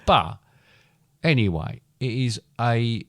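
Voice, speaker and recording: A man's voice: medium pitch, very animated, slow pace, a bit bright, dry recording, clean studio sound. A middle-aged man, co-hosting a podcast in casual chat. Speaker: podcast co-host.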